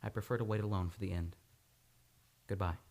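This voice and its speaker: A man's voice: low voice, fairly even intonation, fairly quick, a little mellow. A man acting in an old-time radio drama as an earnest, anguished amnesiac on the run. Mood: tender, resigned. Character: troubled, lovelorn amnesiac man fleeing a murder charge. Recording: live radio play with music behind.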